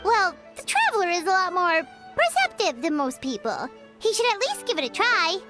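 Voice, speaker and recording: A woman voicing a tiny, childlike, cheeky fairy companion with a squeaky voice in a video game. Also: big pitch swings, moderate pace, music in the background. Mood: encouraging. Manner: squeaky, speaks of self in third person.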